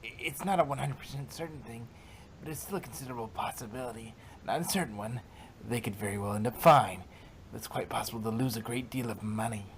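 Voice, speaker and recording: A man's voice: medium pitch, fast, a little hiss or hum, natural voice. A man voicing a nervous, deferential male pony assistant, formal and uncertain, in a fan fiction reading. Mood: uneasy, pleading.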